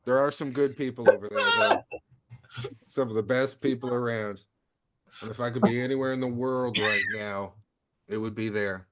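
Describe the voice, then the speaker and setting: A man's voice: mid-range voice, moderate pace, crowd noise, lightly acted. A man playing an earnest mission planner on a heist crew in a comedy table read. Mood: wistful.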